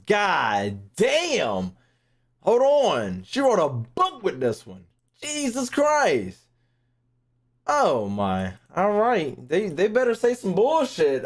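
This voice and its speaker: A woman giving casual, exclamatory gaming reaction commentary full of slang and swearing. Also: low voice. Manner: exclamatory, profane, informal. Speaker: gaming content creator reacting to story readings.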